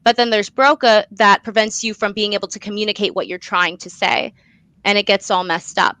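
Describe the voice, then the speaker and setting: A woman's voice: medium pitch, fairly even intonation, a little fast, bright, forceful tone, dry recording. A young woman speaking as an interview guest, earnest and effusive about her own work. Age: young adult. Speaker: guest, student playwright. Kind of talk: enthusiastic interview talk.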